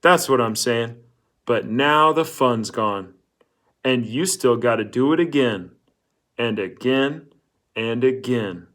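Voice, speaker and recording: A man's voice: medium pitch, takes it slowly, a bit bright. A man reading a storybook, voicing a cocky, pushy teenage boy who talks insistently and needles his listener. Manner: insistent, needling, tag questions.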